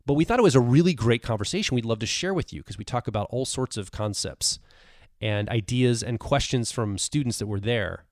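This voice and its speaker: A man's voice: medium pitch, brisk, no echo, clean studio sound. A male podcast co-host talking casually and explaining things, a bit tired after a long week.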